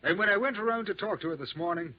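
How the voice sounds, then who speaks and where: An actor playing a hard-boiled but wry, worried police detective with slangy talk in a 1950s radio crime drama. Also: male voice, high-pitched, lively intonation, fast talker. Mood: matter-of-fact, worried. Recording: dull, noisy 1950s radio recording.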